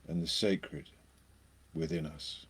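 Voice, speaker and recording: A man leading a guided meditation, speaking in short phrases with long pauses between them. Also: very deep voice, steady tone, slightly rough.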